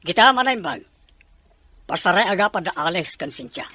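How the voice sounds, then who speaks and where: A woman's voice: on the low side, animated, moderate pace, sharp tone, slightly rough, no room sound, heavily acted. A woman playing a frank, insistent confidant in a melodramatic family drama.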